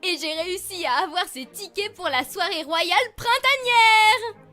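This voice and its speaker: A woman's voice: very high voice, unhurried, sharp tone, heavily acted. A woman voicing an affectionate, chatty pony mother in a French cartoon fandub, cheerful and doting. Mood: excited, doting. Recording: studio dub with faint music.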